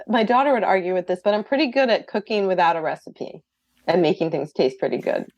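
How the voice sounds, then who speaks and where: A woman's voice: fairly low pitch, fast, darkish tone, no room sound, highs cut off. A middle-aged woman, a guest author, answering podcast interview questions in an earnest, thoughtful way.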